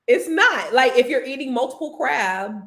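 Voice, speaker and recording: Teasing, higher-pitched woman from California. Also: normal speed. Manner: teasing, animated, reads viewer comments aloud. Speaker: co-host. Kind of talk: casual playful banter with a co-host.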